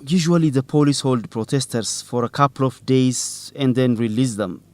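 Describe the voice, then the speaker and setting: A man's voice: mid-range voice, normal speed, close to an everyday voice. An actor playing a young male student newsletter reporter in a radio drama for English learners, earnest, hesitant and careful in his speech. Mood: worried, apologetic. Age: young adult.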